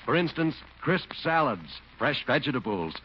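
A man's voice: medium pitch, sing-song, moderate pace. A man announcing a scripted advertisement on 1940s radio. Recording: muffled, noisy old radio broadcast.

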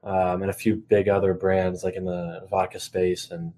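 Young adult male, slightly low pitch, podcast co-host, casual conversation. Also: little pitch movement, normal speed, dark tone.

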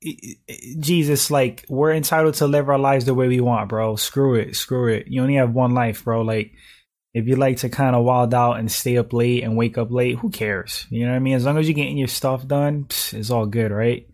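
A man in his thirties talks loosely through a livestream, tossing out slang and clearing his throat often. His voice is slightly hoarse and sits at an ordinary, middling pitch. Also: normal speed. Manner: relaxed slangy talk, frequent throat clearing. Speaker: livestream host reading chat. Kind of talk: casual chat.

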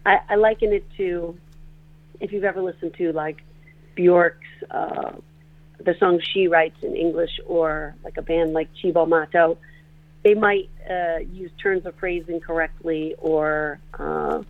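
A composer and performer from northeastern Pennsylvania, answering interview questions on the radio, talking in a halting way with many restarts. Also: medium pitch, steady tone. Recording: tinny phone line.

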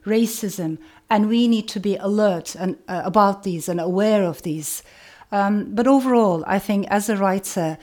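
A middle-aged woman novelist, Turkish as her first language, speaking in a measured, earnest way, repeating phrases for emphasis. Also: medium pitch, a little mellow. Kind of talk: reflective talk to camera.

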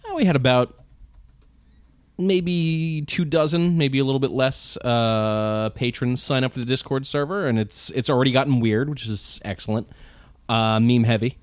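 Man, podcast host, medium pitch, relaxed casual talk, swears, lots of uh fillers, dry sound. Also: moderate pace, no echo. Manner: laid-back, swearing, many uh fillers. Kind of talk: casual chat.

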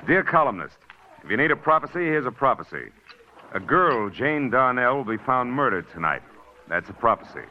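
A man's voice: medium pitch, big pitch swings, lightly acted. An actor playing a cocky, wisecracking male scandal columnist, in 1940s radio acting. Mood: smug. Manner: smug, wisecracking, fast-talking. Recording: dull old radio broadcast, faint hiss.

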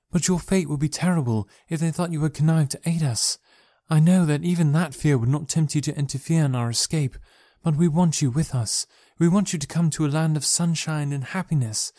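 A man's voice: on the high side, dark tone, dry recording, clean studio sound, pitched above the performer's own voice, close to an everyday voice. A man reading aloud and voicing a noble, proud young alien princess who speaks earnestly and pleads.

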